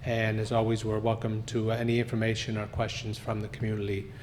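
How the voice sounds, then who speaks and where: A man addresses a town board during public comment, pausing often on fillers as he goes. His delivery is flat and even. A faint noise sits under the recording of the meeting room. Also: fairly low pitch. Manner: halting, many fillers.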